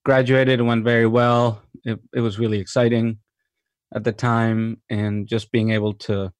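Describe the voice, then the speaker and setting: A man from Mexico speaks in an unhurried, conversational way, pausing with fillers and circling back mid-sentence. His voice sits at an ordinary middle pitch and is recorded close and dry, with no room sound. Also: level tone, dry recording. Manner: thoughtful, many fillers and restarts, enthusiastic. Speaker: cinematographer guest. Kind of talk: relaxed interview conversation.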